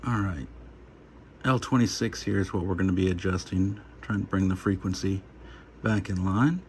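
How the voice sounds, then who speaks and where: A man's voice: sits low, moderate pace, darkish tone. A man giving a casual, unscripted hands-on video demonstration, plain-spoken and hesitant, in short trailing phrases. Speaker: hobbyist presenter of a radio repair video. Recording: noticeable background hum and noise.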